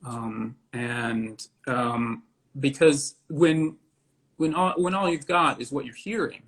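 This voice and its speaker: A man with a gravelly, creaky voice at a middle pitch answers as an interview guest. He is casual but deliberate, building his thoughts haltingly in short phrases. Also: a bit bright. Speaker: interview guest, theatre director.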